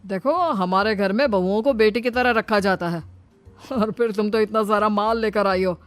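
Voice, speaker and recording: A woman's voice: mid-range voice, moderate pace, dry recording, faint music behind, close to an everyday voice. A woman voicing a fussy, scolding older father-in-law in a cartoon story. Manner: scolding, bossy. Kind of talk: cartoon voice acting.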